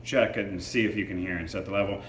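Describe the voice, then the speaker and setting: A man's voice: low-pitched, fairly even intonation, quick pace. A man reviewing a product in a casual, hands-on demo, explaining features informally. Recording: echoey room with faint background noise.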